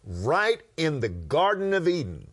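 Slow, lively West Texas preacher man. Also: fairly high pitch, breathy edge. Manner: drawn-out emphatic preaching cadence. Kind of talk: conversational Bible teaching.

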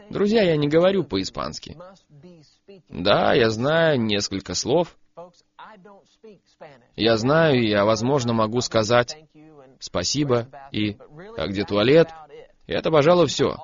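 A man preaches with a lively voice that rises and falls widely as he tells stories and drives his points home. He repeats key phrases for emphasis. The sound is dull and lo-fi. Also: mid-range voice. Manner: animated storytelling, emphatic repetition. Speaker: preacher, guest on a program. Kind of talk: sermon.

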